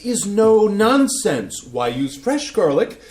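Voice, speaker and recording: A man's voice: sits high, very animated, takes it slowly, darkish tone, echoey room, slight background noise, exaggerated voice. A man reading the part of a pretentious, mocking food snob, with arch, theatrical sarcasm, in a community staged reading. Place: small community playhouse stage.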